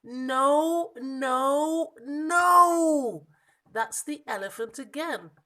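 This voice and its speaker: A woman voicing a small, lost young monkey from a children's storybook, plaintive and exasperated, with emphatic, drawn-out protests. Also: sits high, pushed and bright, voice pushed up, full character voice. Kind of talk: children's storybook character reading.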